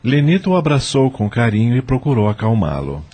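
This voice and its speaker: A man's voice: mid-range voice, sing-song, fairly quick, darkish tone, husky. A man with a Brazilian accent giving scripted third-person narration for an audio drama.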